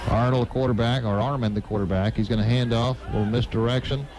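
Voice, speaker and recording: A man's voice: medium pitch, fairly even intonation, quick pace, crowd noise, noisy background. A man doing live radio play-by-play commentary of a football game, broadcast from a stadium. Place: outdoor high school football stadium broadcast.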